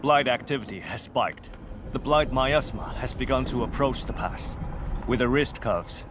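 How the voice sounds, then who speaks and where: A man's voice: mid-range voice, lively intonation, moderate pace, background noise, close to an everyday voice. An actor playing a loyal, dutiful male squad officer in a video game, giving military reports and orders. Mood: respectful, businesslike. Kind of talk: scripted video game voice acting.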